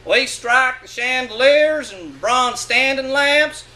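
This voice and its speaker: A man's voice: extremely high pitch, slow pace, sharp tone, rough recording. A man giving a dramatic, sardonic and emphatic reading of a literary monologue. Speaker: reader of a short story.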